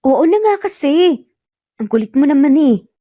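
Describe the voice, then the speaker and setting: A woman's voice: high-pitched, lively intonation, moderate pace, warm tone, no echo, pitched above the performer's own voice. A woman voicing a shy, jealous and mildly irritable young mother in an audio romance story, hesitant and pouting. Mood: irritated.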